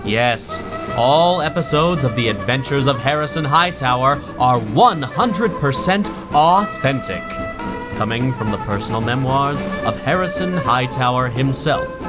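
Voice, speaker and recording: A man's voice: medium pitch, expressive, measured pace, music in the background, lightly acted, rough recording. A man doing a showy old-time radio announcer. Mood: enthusiastic. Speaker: show announcer. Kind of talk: 1930s-style radio announcing.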